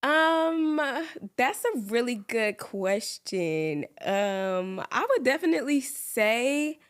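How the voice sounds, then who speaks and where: A woman's voice: a little high, expressive, slow pace, smooth. A young woman from Georgia, hosting a casual podcast chat in an upbeat, talkative way with many ums. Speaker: podcast host.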